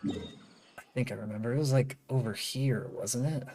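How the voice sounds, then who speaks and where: Very low-voiced woman streaming casually. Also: fairly quick, warm tone, faint music behind. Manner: muttering to self, rambling. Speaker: game streamer. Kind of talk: casual live gameplay commentary.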